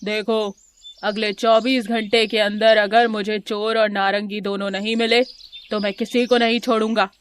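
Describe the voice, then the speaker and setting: A woman voicing a stern, commanding lion king who is quick to anger, in a children's cartoon. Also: medium pitch, level tone, a little hiss or hum, lightly acted.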